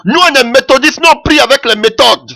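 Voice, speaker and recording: A man's voice: extremely high pitch, a little fast, gravelly, bright, forceful tone. A man preaching a sermon in French that is not his mother tongue, impassioned and punctuating his points with "Alléluia".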